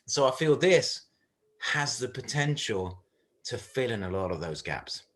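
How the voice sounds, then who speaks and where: A man's voice: medium pitch, expressive, a little slow, a bit bright. A man speaking earnestly and informally to a group as a church leader on a video call, often checking that listeners follow.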